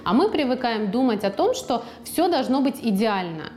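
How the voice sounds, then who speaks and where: A woman guest on a studio podcast, talking in a lively, chatty way and now and then acting out what people said to her. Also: on the high side, moderate pace. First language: Russian. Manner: lively, chatty, acts out quoted speech. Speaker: guest author. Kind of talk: podcast conversation.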